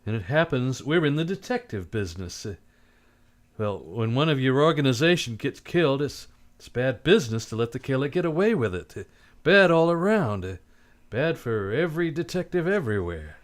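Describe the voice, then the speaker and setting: A man's voice: medium pitch, big pitch swings, normal speed, natural voice. A man playing a cynical, unsentimental private detective in a live old-time radio drama, with terse, blunt, slangy tough-guy delivery. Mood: bitter, resolute.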